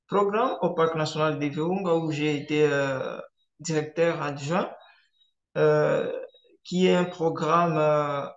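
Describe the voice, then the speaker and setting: A man from eastern Congo, a Swahili speaker, giving a measured online presentation as a conservationist with frequent hesitation fillers. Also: on the high side, dull audio. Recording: roomy echo.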